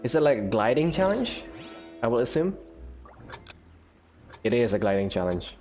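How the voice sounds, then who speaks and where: A woman's voice: very deep voice, very animated, moderate pace, a little mellow, faint music behind. A woman gaming host giving casual let's-play commentary, reacting and thinking aloud as she plays.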